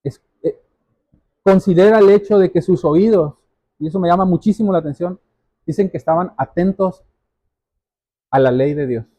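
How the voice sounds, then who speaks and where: High-pitched male pastor preaching earnestly. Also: moderate pace, pauses often, dark tone, no room sound, muffled recording. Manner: earnest, addresses listeners directly, repeats phrases for emphasis. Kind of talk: sermon, exhortation.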